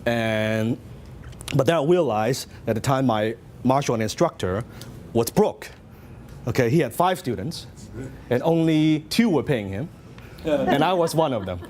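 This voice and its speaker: A man's voice: medium pitch, animated, unhurried, a little mellow, slight background noise. A middle-aged man from Hong Kong with a Hong Kong Cantonese accent, giving a motivational seminar talk and telling his story with lots of questions to the audience. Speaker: business speaker.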